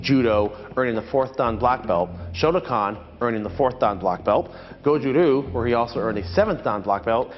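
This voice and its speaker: A man narrates a martial arts history in a measured, explanatory way, his voice at an ordinary male pitch. The sound is dull and carries a long reverb tail, with faint music underneath. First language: not Japanese. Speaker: martial arts channel host. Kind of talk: scripted documentary narration.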